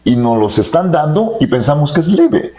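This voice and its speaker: A man's voice: a little high, very animated, normal speed, warm tone. A man from Peru hosting a casual interview, chatty and playful, with lots of uh-huhs while his guest talks. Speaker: interviewer, digital creator.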